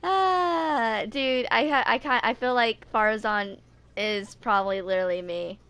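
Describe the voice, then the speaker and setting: A woman's voice: fairly high pitch, measured pace, a bit bright, smooth. A woman in her twenties with a young-sounding voice, casually reading aloud and chatting. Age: 20s. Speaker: video maker reading game stories. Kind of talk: casual read-aloud with chat. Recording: noticeable background noise.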